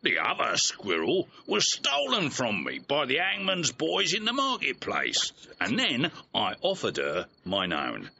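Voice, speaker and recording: An aggrieved clown of a servant in classical audio comedy. His voice is piercingly bright and leaps wildly up and down as he rambles theatrically. The restored old recording is a little dull. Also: mid-range voice, wildly swooping pitch, unhurried, vocal fry, hardly any pauses, slight background noise, heavily acted. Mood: plain, defensive. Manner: rambling, theatrical, conversational soliloquy with mimicked voices. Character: comic male clownish servant scolding his dog, aggrieved, earthy.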